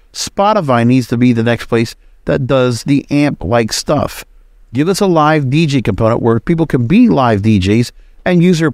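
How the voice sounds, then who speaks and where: A man's voice: fairly high pitch, a little mellow, dry recording, clean studio sound. A man hosting a solo podcast, talking casually in a rambling, conversational way. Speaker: podcast host.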